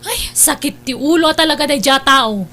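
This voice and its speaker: A woman's voice: sits high, moderate pace, bright, forceful tone, soft background music. A woman with an Ilocano accent playing an exasperated, scolding older sister in an audio drama. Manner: nagging, reproachful.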